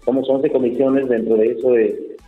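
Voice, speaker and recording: A Peruvian rice farmer speaking in a phone interview, hesitant, with many fillers and restarts. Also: male voice, mid-range voice, little pitch movement, moderate pace, faint music behind. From Peru. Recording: echoey tinny phone line.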